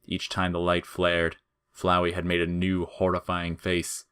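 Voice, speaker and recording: Low-voiced man narrating fiction. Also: completely flat delivery, a little slow, fairly bright tone, smooth.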